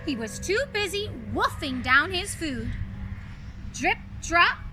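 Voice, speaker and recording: A woman reading a children's story aloud as a fairy-tale princess, expressive and carefully enunciated. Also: very high-pitched, slow pace, noisy background. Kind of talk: animated children's read-aloud.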